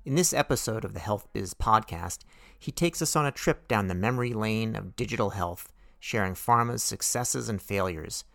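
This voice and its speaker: A man's voice: on the low side, normal speed, gravelly, a bit bright. A man hosting a business podcast, reading an intro and then interviewing in a friendly, joking way. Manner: friendly, joking, some restarts. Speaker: podcast host. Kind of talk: casual interview with scripted intro.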